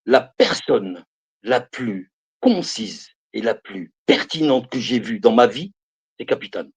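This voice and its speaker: Man, 50s, husky, lively pitch; emphatic live-stream preacher who repeats himself. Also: takes it slowly. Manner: emphatic, repeats phrases, calls listeners brothers and sisters. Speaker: live streamer giving religious advice.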